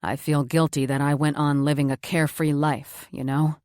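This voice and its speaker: A woman voicing a low, earnest man. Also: flat delivery, normal speed, darkish tone, no echo, studio quality, close to an everyday voice. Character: tender remorseful man, a doctor.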